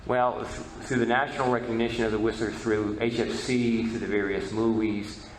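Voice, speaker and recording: A man giving an informal history presentation, halting and often repeating words. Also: fairly low pitch, flat delivery. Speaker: presenter and media researcher. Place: reverberant presentation hall. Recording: echoey hall, faint background noise.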